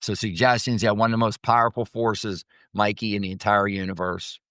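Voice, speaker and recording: A man's voice: lowish voice, little pitch movement, a little fast, a bit bright, no room sound, clean studio sound. A man speaking as a motivational coach and host, teaching off the cuff in an emphatic way and repeating phrases for stress. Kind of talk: spontaneous motivational teaching talk.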